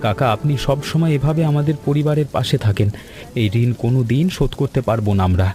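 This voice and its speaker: A man voicing an anxious, earnest village family man in an animated story. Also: medium pitch, quick pace, faint music behind, close to an everyday voice. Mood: worried, grateful. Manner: worried, earnest. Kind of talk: Bengali animated story dialogue.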